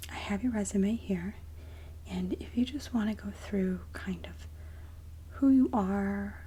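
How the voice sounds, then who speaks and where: A woman speaking softly and breathily, often near a whisper, in a gentle, polite ASMR roleplay as a kindly Mrs. Claus. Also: medium pitch, slight background noise. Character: kindly Mrs. Claus interviewing a job applicant. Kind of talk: soft-spoken ASMR roleplay interview.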